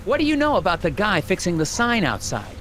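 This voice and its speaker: A dutiful, polite FBI agent in a video game. His voice sits fairly high and swings in pitch as he speaks formally and earnestly. Faint noise runs behind him. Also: wildly swooping pitch, a little fast, fairly bright tone, heavily acted. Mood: polite, probing. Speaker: game character, investigator. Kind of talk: scripted video game dialogue and narration.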